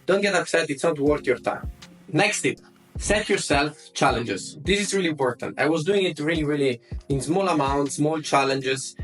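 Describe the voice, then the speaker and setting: A man's voice: medium pitch, fairly bright tone. Earnest Italian-accented man giving advice. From Italy. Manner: earnest, repeats words for emphasis. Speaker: self-development vlogger. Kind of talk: advice monologue to camera.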